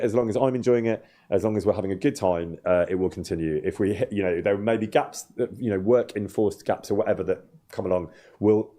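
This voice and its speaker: Low, mellow-voiced male game streamer. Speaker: streamer and host of a gaming livestream. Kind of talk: casual livestream chat while playing a game.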